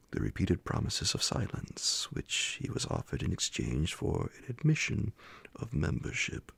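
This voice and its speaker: A man reading classic horror fiction as an ASMR bedtime audiobook, hushed and deliberate, with a gravelly, breathy voice that slips toward a whisper, close to the microphone. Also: a bass voice, no echo. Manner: hushed, slow, deliberate phrasing. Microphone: close, dry microphone.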